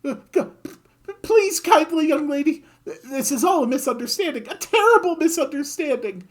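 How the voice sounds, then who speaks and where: A game player voicing a sly little mouse that begs in an overly polite, whiny way, pitched high above their own voice. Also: sing-song, measured pace, slightly rough. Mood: panicked. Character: sly pleading mouse, secretly a griffin.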